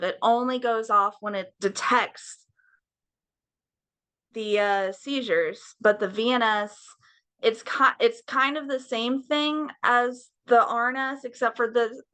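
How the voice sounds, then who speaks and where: A young woman with a bright, slightly raspy voice pitched a touch high talks openly and casually in an interview. She is frank and jokes readily, with the odd swear word mixed in. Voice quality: slightly raised, raspy voice from a nerve implant. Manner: candid, swears freely, frank and joking. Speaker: podcast guest.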